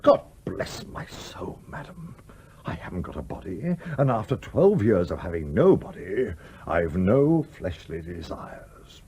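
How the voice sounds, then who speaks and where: An actor in a radio play voicing the blustering, bossy ghost of a sea captain, with old-fashioned oaths and an exasperated, commanding manner. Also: male voice, sits low, sing-song, a little slow, warm tone, breathy edge, full character voice. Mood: indignant.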